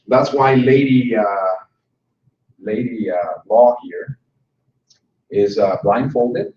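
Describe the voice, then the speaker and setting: A man's voice: medium pitch, a little mellow. Man presenting in a roomy hall. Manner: conversational, joking with the audience. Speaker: conference presenter. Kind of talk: conference talk. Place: conference room. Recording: roomy hall sound from a distant mic, dull and slightly noisy.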